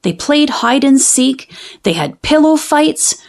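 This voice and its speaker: A woman reading a children's picture book aloud in an expressive, narrated storytelling style. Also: sits high, slow pace.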